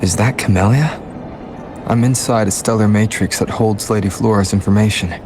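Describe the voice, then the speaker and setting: A man playing a calm young adventurer hero in a video game, reasoning aloud in a measured, analytical way. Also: fairly low pitch, noisy background, close to an everyday voice. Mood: puzzled. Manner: measured, analytical inner monologue. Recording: background music under the voice.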